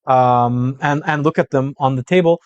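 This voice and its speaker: A man's voice: mid-range voice, normal speed, smooth, no room sound, lo-fi sound. A man giving conversational political analysis as a guest, laying out points methodically and checking in as he goes. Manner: methodical, punctuates points with "right?" and "okay?". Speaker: political commentator, podcast guest.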